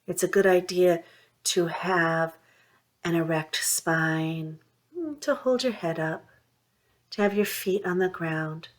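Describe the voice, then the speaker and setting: A woman with a slightly low voice leads a mindfulness practice. She gives gentle instructions in short, soothing phrases. Long pauses separate them, keeping the delivery unhurried. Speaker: mindfulness meditation guide. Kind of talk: guided meditation, gentle instruction.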